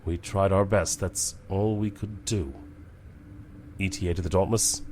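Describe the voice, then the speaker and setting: Deep-voiced starship captain, acted by a man. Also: steady tone, unhurried, darkish tone, slight background noise. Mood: weary, regretful. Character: commanding but weary starship captain, humane. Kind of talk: science fiction audio drama acting.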